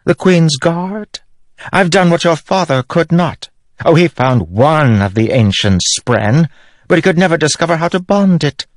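Man putting on a scornful queen, high pinched voice, gloating, dry recording. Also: lively intonation, measured pace, dry recording. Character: scheming queen, haughty and mocking. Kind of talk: audiobook character voice.

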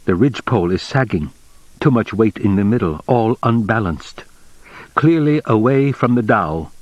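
A formal, measured official with a precise, deliberate way of speaking, as a man puts him on. The voice sits noticeably higher than the reader's own low register, courteous and controlled. It is recorded close and dry, like an audiobook. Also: expressive, dry recording, lo-fi sound. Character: formal, measured middle-aged male Japanese official.

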